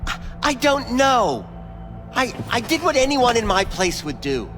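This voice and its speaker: An actor voicing a smug, evasive back-alley implant doctor in a video game, with florid, theatrical phrasing. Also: male voice, extremely high pitch, big pitch swings, measured pace, fairly bright tone, big-room sound, faint music behind, exaggerated voice. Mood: defensive, flustered. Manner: florid, theatrical wording, stammers when pressed.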